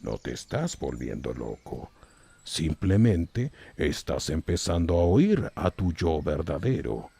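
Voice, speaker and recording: A man playing a wise, calm old wizard mentor in an audiobook, husky and measured in his delivery. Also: very deep voice, full character voice. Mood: gently instructive. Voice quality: gravelly, husky. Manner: slow, measured, sententious. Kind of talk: audiobook character acting.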